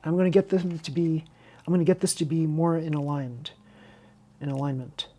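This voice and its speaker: Husky woman chatting through a hobby build. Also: sits low, steady tone, moderate pace, warm tone. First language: Chinese. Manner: rambling, candid, self-interrupting. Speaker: hobby video host and teacher. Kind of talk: unscripted casual chat over a model car build tutorial.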